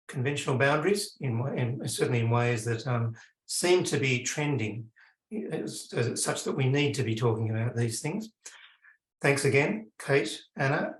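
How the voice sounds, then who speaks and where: A man, hosting an event and giving polite closing thanks, halting with many ums. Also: medium pitch, echoey room, rough recording. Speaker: event host.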